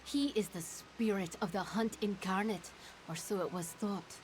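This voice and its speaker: A woman voicing a solemn, mournful fey herald in a fantasy game, speaking in a grave, measured, formal way. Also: mid-range voice, darkish tone, a little hiss or hum, lightly acted, rough recording. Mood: grave, warning.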